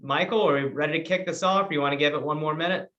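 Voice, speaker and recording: A man with a slightly higher than usual pitch welcomes investors to a webinar and walks through the presentation in an organized way. An occasional um breaks up his sentences. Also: very fast, sharp tone, dull audio. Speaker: webinar host and real estate broker. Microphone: video call.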